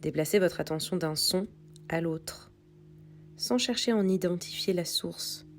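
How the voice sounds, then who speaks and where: A woman reading a guided yoga nidra meditation in a calm, soothing, unhurried way with pauses. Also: lowish voice, faint music behind. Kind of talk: guided meditation read from a script.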